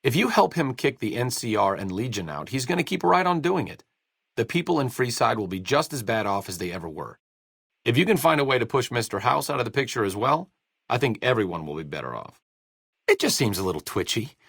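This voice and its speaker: An actor playing a sarcastic, idealistic wasteland doctor in a video game, with an American accent and a dry, articulate manner. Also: male voice, medium pitch, sing-song, a little fast, gravelly, fairly bright tone, breathy edge, no room sound, heavily acted. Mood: wry. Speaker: video game companion character. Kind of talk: video game voice acting, conversational dialogue.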